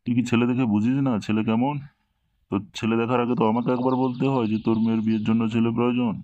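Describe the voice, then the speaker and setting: A man's voice: mid-range voice, level tone, racing, warm tone, dry recording, close to an everyday voice. A man voicing a domineering, scolding village tantric holy man in a Bengali comedy cartoon, with a preachy manner. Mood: scolding, threatening, then preachy, commanding. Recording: dull lo-fi, very dry cartoon dub.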